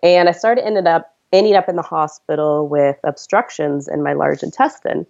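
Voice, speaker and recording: A low-voiced woman in her thirties with a gravelly edge tells her story to a podcast host. She talks in an earnest, chatty way and laughs easily. The sound is slightly dull and lo-fi. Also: moderate pace, darkish tone. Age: 30s. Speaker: podcast guest. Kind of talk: conversational storytelling.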